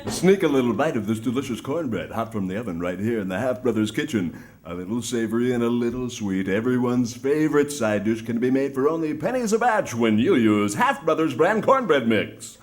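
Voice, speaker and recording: A man announcing in a folksy, theatrical old-time variety show style, pitching sponsor products like a salesman. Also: expressive, fairly quick, hardly any pauses.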